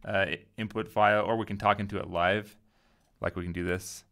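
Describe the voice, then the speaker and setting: A man giving a casual software tutorial, informally thinking aloud as he goes. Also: lowish voice, fairly even intonation, brisk, creaky. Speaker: tutorial host.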